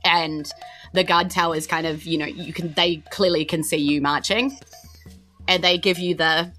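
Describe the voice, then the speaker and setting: A woman's voice: fairly low pitch, steady tone, moderate pace, bright, forceful tone, faint music behind. A woman with a New Zealand accent improvising narration as a tabletop game master in an informal, storytelling way.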